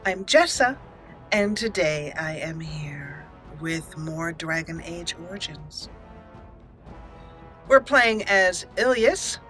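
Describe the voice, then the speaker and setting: A woman's voice: medium pitch, bright, forceful tone. A woman hosting a casual let's play, chatting playfully with mock indignation and mild joke swearing. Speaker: gaming channel host.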